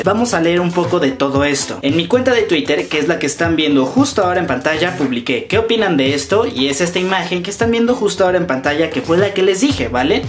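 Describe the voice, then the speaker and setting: A man in his twenties with a Mexican accent, chatting casually as a YouTuber commenting for fans and reading comments aloud. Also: fairly high pitch. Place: small room indoors. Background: background music.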